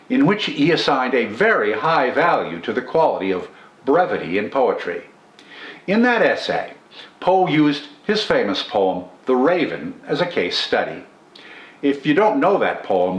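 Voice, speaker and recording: An older man presenting poetry in a formal, measured, scripted style, enunciating carefully. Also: medium pitch. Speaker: host of a poetry reading channel. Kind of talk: scripted literary commentary and poetry recitation. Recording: roomy indoor echo.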